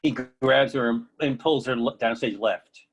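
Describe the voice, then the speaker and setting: A man's voice: medium pitch, a little slow, muffled recording. A man reading stage directions as scripted narration.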